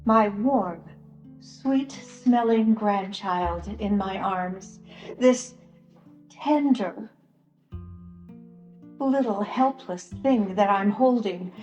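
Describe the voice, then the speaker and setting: A woman playing a sorrowful, troubled grandmother in a solemn Passion drama monologue, pausing long between phrases. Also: medium pitch, darkish tone, highs cut off. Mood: troubled, grieving. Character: sorrowful grandmother in occupied ancient land, a troubled bystander. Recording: roomy echoing church sound with music.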